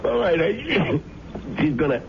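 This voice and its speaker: An actor playing a sickly, scheming male boarder in a 1970s radio drama, winded and halting in speech. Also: fairly high pitch, big pitch swings, creaky, full character voice. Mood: reluctant, flustered. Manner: halting, skeptical. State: sick, coughing, short of breath. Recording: noisy muffled 1970s radio broadcast.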